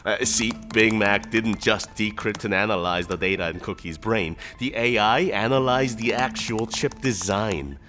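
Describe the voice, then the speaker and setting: A man's voice: on the low side, moderate pace, few pauses, sharp tone, soft background music. A man playing a wisecracking, skeptical hacker buddy in a naturalistic audio drama, with casual, joking, stammering delivery. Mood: wry, explaining.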